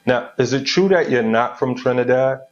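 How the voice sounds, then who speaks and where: A man's voice: mid-range voice, normal speed. A man hosting a casual interview show, laid-back and encouraging, often answering with a short "right". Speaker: podcast host. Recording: dull lo-fi audio.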